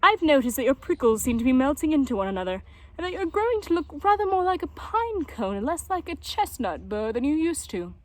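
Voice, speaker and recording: A woman's voice: sits high, very animated, brisk, voice pushed up. A woman voicing a courteous male tortoise in a storybook, earnest, formal and a little smug as he encourages. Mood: eager, smug.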